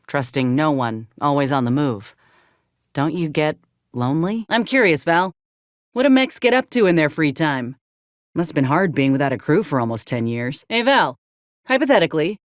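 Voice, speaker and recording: A woman's voice: sits low, no room sound, clean studio sound. An actress playing a frank, caring young woman soldier in a game, earnest and plain-spoken with folksy turns of phrase. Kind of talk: video game companion dialogue.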